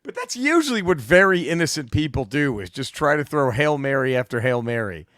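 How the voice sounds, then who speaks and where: A man co-hosting a comedy podcast, trading profane, mocking banter and shouting when he gets excited. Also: mid-range voice, a little fast, dry recording, clean studio sound.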